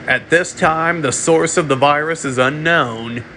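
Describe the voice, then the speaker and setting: A man delivering a mock emergency alert in a halting way, often repeating and restarting his phrases. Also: on the high side, sharp tone, slight background noise. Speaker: amateur narrator of a fictional emergency broadcast. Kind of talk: mock emergency alert announcement, semi-improvised.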